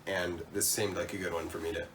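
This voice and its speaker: A man's voice: sits low, level tone, fairly quick, fairly bright tone, recorded from a distance, slight background noise. A man with a cold chatting casually about books he bought, stumbling over words now and then. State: has a cold. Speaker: co-host. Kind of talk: casual book haul chat.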